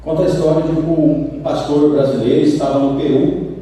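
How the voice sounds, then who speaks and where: Brazilian male preacher, high mellow voice, echoey hall, heard from afar. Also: normal speed, distant mic, a little hiss or hum. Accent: Brazilian Portuguese. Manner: animated storytelling, acts out quoted voices. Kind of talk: sermon. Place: church sanctuary. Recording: roomy church hall from afar.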